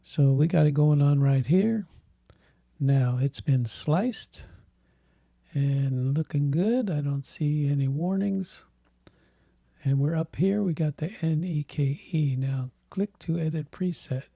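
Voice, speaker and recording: A man hosting a tech channel, thinking aloud in halting bits while he clicks through software, with a voice that is giving out. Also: fairly high pitch, creaky, dry recording. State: losing his voice. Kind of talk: casual screen walkthrough.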